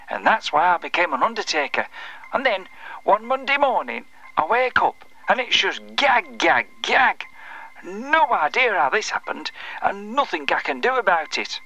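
A man's voice: high-pitched, big pitch swings, normal speed, creaky, pushed and bright, exaggerated voice, low-quality recording. A man playing a desperate, apologetic undertaker who cannot stop joking, rambling and interrupting himself in an audio drama phone message. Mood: flustered, pleading.

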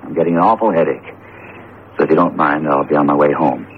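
A man's voice: very deep voice, fast, vocal fry. An actor playing a befuddled, weary man in an old radio drama, vague and apologetic in manner. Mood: confused, weary. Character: befuddled, weary man dismissing a friend's worries. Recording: tinny vintage radio broadcast, faint hiss.

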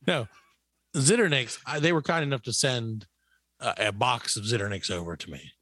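A man's voice: medium pitch, moderate pace, a bit bright, no echo, studio quality. A middle-aged man hosting a show with casual comedic banter, rambling and mock-boastful. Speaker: show host.

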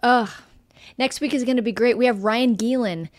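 A woman's voice: a little high, normal speed. A woman co-hosting a podcast, chatty and upbeat, previewing next week's show. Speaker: podcast co-host. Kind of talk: casual podcast talk.

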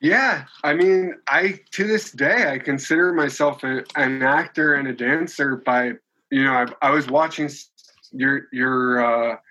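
Bright-voiced man in his twenties. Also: mid-range voice, unhurried. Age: 20s. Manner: chatty, rambling with many restarts and fillers. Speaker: podcast guest. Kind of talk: casual conversational interview.

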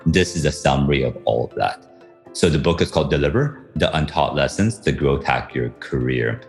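A man's voice: extremely low pitch, animated, normal speed, faint music behind. A man from Taiwan and the US answering interview questions conversationally, with frequent fillers. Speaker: guest author.

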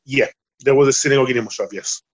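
A middle-aged Israeli man with a Hebrew-speaking background, speaking halting English with many fillers as he answers interview questions. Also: medium pitch, highs cut off. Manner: halting, searching for English words, frequent fillers. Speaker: guest. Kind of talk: remote interview conversation.

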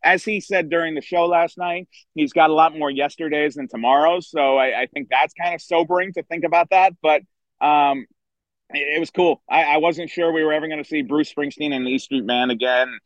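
A middle-aged man, a baseball writer guesting on a podcast, chatting enthusiastically outdoors with a hoarse voice and many restarts. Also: fairly high pitch, fairly even intonation, brisk, no echo, muffled recording. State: hoarse from screaming at a concert. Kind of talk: casual podcast chat. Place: outdoors by a river.

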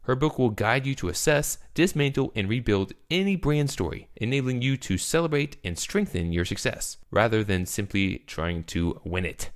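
A man's voice: mid-range voice, animated, normal speed, few pauses. A man hosting a podcast, reading a scripted intro, then chatting loosely and joking with his guest. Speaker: podcast host. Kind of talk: podcast interview.

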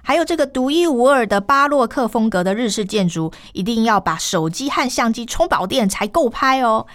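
A woman's voice: a little high, expressive, normal speed, fairly bright tone. A woman hosting a cultural travel radio programme in Taiwanese Mandarin, speaking in an enthusiastic, promotional way and putting questions to her guest. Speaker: host interviewing a guest.

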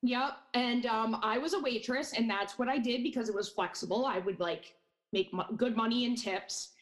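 A woman in her thirties from upstate New York, talking as a podcast guest. She is chatty and jokey, with a somewhat high voice. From Hudson Valley, New York. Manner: chatty and jokey, quick asides. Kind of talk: casual interview chat.

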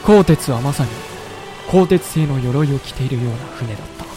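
An actor playing a composed samurai official who narrates a war chronicle in a scripted period audio drama. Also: male voice, medium pitch, expressive, over music, lightly acted. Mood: solemn, factual. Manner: measured, solemn storytelling.

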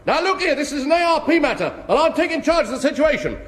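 Blustering high-pitched English warden. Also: male voice, very animated, quick pace, fairly bright tone, full character voice. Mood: alarmed, bossy. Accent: English working-class. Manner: brash, argumentative. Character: bossy, blustering male air raid warden. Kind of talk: British radio sitcom acting.

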